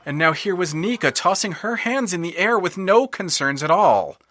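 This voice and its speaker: A man giving scripted narration for an audio drama, measured and wry, building tension in places. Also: high voice, sing-song, fairly bright tone, dry recording.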